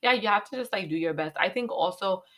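A woman in her thirties co-hosting a casual podcast chat, candid and emphatic with animated asides. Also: medium pitch, quick pace. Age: 30s. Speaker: podcast co-host.

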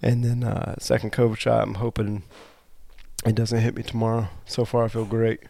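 A man's voice: fairly low pitch, level tone, moderate pace, gravelly, warm tone. A man hosting a podcast in loose, rambling casual chat, sounding a bit congested from allergies. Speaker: podcast host.

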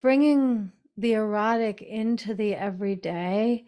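A middle-aged woman author, a guest in a podcast interview, speaking in a slow, reflective, unhurried way. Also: medium pitch, mellow.

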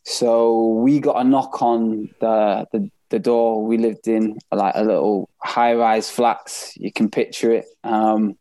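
A man's voice: medium pitch, dark tone. A man with a Manchester accent, speaking candidly and reflectively as he tells his life story as an interview guest. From England, born in Bath and later moved north. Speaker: interview guest, professional footballer.

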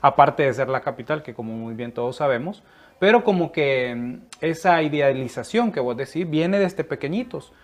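A man's voice: on the high side, lively intonation, moderate pace. A Salvadoran man talking as a guest in a casual conversation, earnest and thoughtful, with many fillers. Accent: Salvadoran Spanish.

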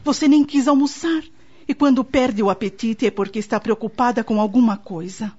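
A woman acting an anxious grandmother in a radio soap. Her voice is husky and dark, worrying over her family, on a lo-fi recording. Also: fairly high pitch, moderate pace, natural voice. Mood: concerned. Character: anxious, fretful mother and grandmother.

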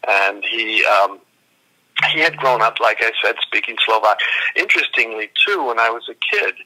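A man in his sixties, a guest calling in by phone, telling lively stories and acting out the dialogue in them. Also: lowish voice, normal speed, poor audio. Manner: lively anecdotes, voices quoted dialogue. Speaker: folk dance teacher, guest. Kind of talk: storytelling interview.

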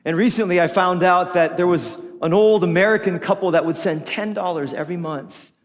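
A man in his forties, a pastor who grew up speaking Tagalog, preaching an animated, humorous sermon told as a personal story. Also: sits high, fairly quick. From Philippines. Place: church auditorium. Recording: long hall reverb.

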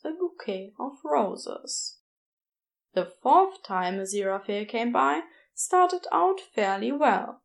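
Slow, high-voiced woman narrating. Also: gravelly. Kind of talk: scripted story narration.

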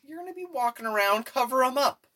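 A woman's voice: sits high, animated, fast, a bit bright. A woman co-hosting a podcast, reacting to a movie with short, dry remarks. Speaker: podcast co-host. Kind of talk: casual watch-along movie commentary.

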